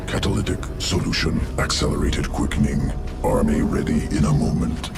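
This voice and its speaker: A man voicing a detached alien gene-splicing creature in a video game, deadpan in clipped fragments, with monstrous processing. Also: very deep voice, moderate pace, gravelly. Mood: clinical. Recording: distant, loud game music behind.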